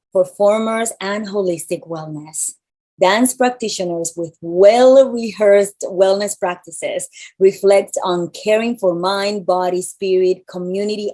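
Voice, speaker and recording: A woman with a deep voice and a mild Spanish accent, reading scripted welcome announcements as the event host over a video call from a large room. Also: a little mellow.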